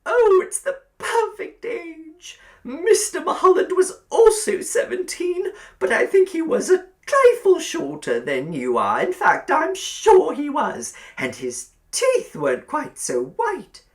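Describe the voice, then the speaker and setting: Man putting on a cooing, high-swooping, doting landlady. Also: huge pitch swings, exaggerated voice. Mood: gushing, admiring. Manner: fussy, gushing, drawn-out emphasis. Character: sweet, cooing, eerily doting middle-aged landlady. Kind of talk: audiobook character acting.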